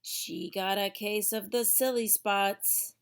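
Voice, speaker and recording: Female children's read-aloud narrator, unhurried and theatrical, mid-range voice, stretches key words for emphasis. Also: mid-range voice, steady tone, gravelly, smooth. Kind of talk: expressive storybook narration for young children.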